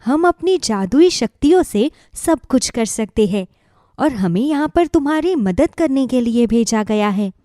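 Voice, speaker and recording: A woman voicing a benevolent magical cow in an animated fairy tale, calm and reassuring. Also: a little high, unhurried, dry recording, clean studio sound.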